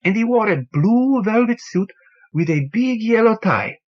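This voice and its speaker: A man voicing a grieving, excitable Cuban male bartender who exclaims in a high, breaking voice. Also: measured pace. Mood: excited. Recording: dull lo-fi audio.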